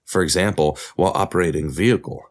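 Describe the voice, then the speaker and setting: A man's voice: deep voice, fast. A man narrating a scripted documentary podcast as its host.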